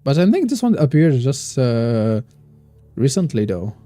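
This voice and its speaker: Guy streaming a game, chatting with chat in halting english, deep mellow voice, dry sound. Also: sing-song, dry recording, faint music behind. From Morocco. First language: Moroccan Arabic. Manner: thinks aloud, chats with viewers, halting non-native phrasing. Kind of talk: casual live-stream gameplay commentary.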